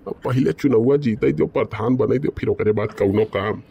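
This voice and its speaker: A man's voice: mid-range voice, moderate pace, gravelly, mellow, lo-fi sound, natural voice. A man voicing a bickering, boastful village man in a comedy cartoon, with a rustic Awadhi accent from eastern Uttar Pradesh. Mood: muttering, worried. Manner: colloquial rustic banter.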